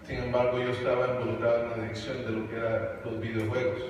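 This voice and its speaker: A young man from Canada speaks to a congregation about faith, stumbling over words with frequent fillers and restarts. His voice carries from a distance in a big reverberant hall. Steady background noise hangs under it. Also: medium pitch, fast talker. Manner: hesitant, many fillers and restarts. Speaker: guest speaker on evangelism. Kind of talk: church testimony talk. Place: large church hall. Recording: echoey hall from far off, noisy.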